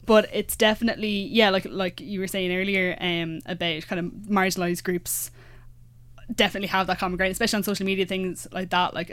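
A woman's voice: on the low side, a bit bright, dry recording. A young Irish woman in her twenties chatting casually, full of fillers and restarts. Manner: fillers, many restarts, quick asides. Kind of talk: casual chat.

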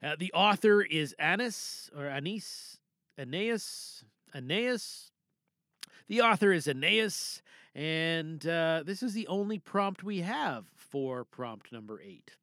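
A man's voice: a little high, slow, no room sound, studio quality. A man hosting a podcast in a chatty, theatrical way, with rambling casual asides. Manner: animated, theatrical announcing mixed with rambling asides. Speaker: podcast host. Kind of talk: casual, chatty show introduction.

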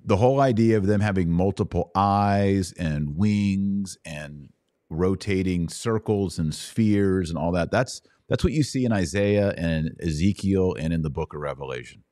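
Low-voiced man teaching informally. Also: a little slow, warm tone, no room sound, clean studio sound. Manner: explanatory, colloquial, emphatic repetition. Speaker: podcast host. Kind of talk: informal Bible question-and-answer teaching.

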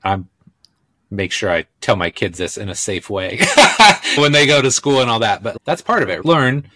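A man's voice: fairly low pitch, animated, normal speed, pushed and bright. A man hosting a podcast interview, easygoing and agreeable, often chiming in with "yeah.". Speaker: podcast host.

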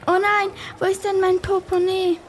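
A woman's voice: very high-pitched, flat delivery, moderate pace, a little hiss or hum. A young female performer playing an earnest, worried girl sleuth in a children's radio play. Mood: upset.

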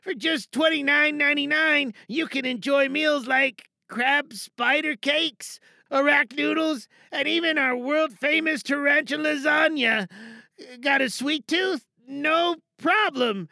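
Woman voicing meek little helper, slow, creaky-edged, apologetic, eager to please, audio monologue. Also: sits high, bright, forceful tone, no room sound, studio quality, exaggerated voice. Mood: dutiful presenting. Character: small, cheerful, meek helper roped into reading an advert. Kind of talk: monologue acting with stilted advert reading.

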